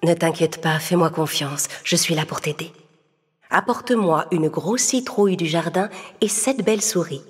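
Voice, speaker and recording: A woman voicing a kind fairy godmother in a children's story, soft and reassuring. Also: fairly low pitch, moderate pace, breathy edge, long reverb tail. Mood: soothing.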